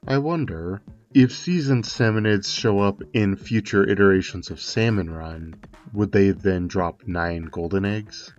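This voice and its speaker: A man's voice: low voice, muffled recording. A man narrating a scripted video game analysis, with conversational asides thrown in. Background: music.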